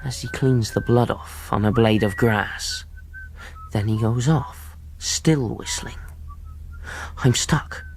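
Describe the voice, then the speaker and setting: A man's voice: medium pitch, takes it slowly, creaky, slight background noise. An actor playing a frightened but earnest ship's boy, telling his story in rustic grammar in a tense radio drama. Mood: horrified.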